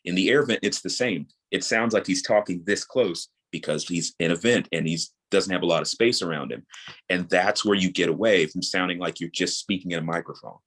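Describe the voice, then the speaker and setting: A man's voice: low-pitched, fairly quick, a bit bright, breathy edge. A man in his thirties speaking as a guest in a casual interview, explaining his creative craft and repeating points for emphasis. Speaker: audio drama creator, podcast guest.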